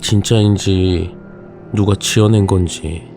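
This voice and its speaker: A man narrating a ghost story in a casual, slow and suspenseful way. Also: low voice, steady tone, creaky, warm tone, faint music behind.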